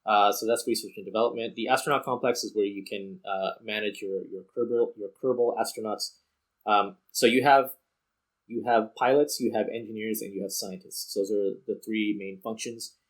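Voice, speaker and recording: Male game streamer, lowish voice, casual and a little hesitant, explaining while he plays. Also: fairly even intonation, moderate pace, rough recording. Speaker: video game streamer and host.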